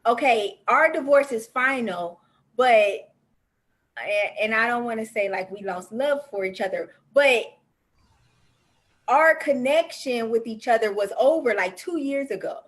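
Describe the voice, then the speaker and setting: A woman's voice: on the high side, unhurried. A woman in her thirties hosting a talk show, chatting in a personal way. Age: 30s. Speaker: host.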